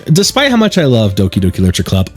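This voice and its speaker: A man's voice: lowish voice, huge pitch swings, rapid-fire, a little mellow, soft background music. A man giving chatty, digressive commentary while playing through a game. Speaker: let's play streamer. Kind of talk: let's play commentary mixed with reading game text aloud.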